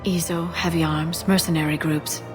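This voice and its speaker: An actress playing an earnest, composed young alien woman in a video game, measured and serious with gentle delivery. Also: sits low, little pitch movement, music in the background, lightly acted. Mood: businesslike. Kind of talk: scripted game dialogue.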